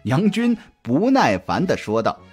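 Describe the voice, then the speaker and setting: A man's voice: medium pitch, huge pitch swings, measured pace, a little mellow, slightly rough. A man narrating a scripted suspense story as an audiobook reader, in a dramatic storytelling style. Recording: music behind the voice. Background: background music.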